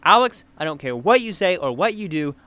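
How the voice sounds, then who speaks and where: A man's voice: high voice, big pitch swings, a little fast, sharp tone, no room sound, heavily acted. A man acting a harried, bossy young man in a comedic audio drama, earnest and exasperated.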